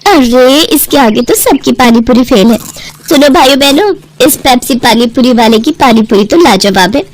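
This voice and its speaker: A woman acts an excitable young rustic customer in a moral tale. Her voice is very high and chatty, gushing as she goes. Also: moderate pace. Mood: delighted.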